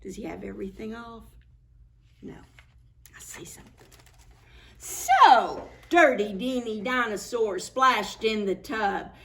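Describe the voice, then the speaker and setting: A woman with a high, very lively voice hosts story time for small children. She reads picture books aloud at an unhurried pace, sing-song and expressive, talking straight to her young listeners. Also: vocal fry, noisy background. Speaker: children's librarian hosting preschool story time.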